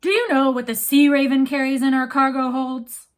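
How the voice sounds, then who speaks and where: A woman voicing a gruff, blustering old male ship captain who roars and bellows his lines. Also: sits high, lively intonation, unhurried, sharp tone, exaggerated voice. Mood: furious. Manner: roaring, bellowing.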